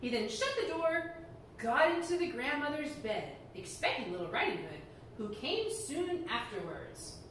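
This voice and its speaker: A woman reading a fairy tale aloud to children in a library, animated and often laughing. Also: a little high, unhurried, a little hiss or hum. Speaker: storyteller. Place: library room. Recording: echoey room, heard from far off.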